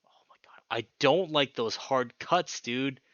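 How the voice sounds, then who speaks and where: A man giving casual, emphatic game commentary as a let's play host, pausing dramatically and swearing mildly. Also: medium pitch, fairly bright tone, no room sound, highs cut off. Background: guitar music.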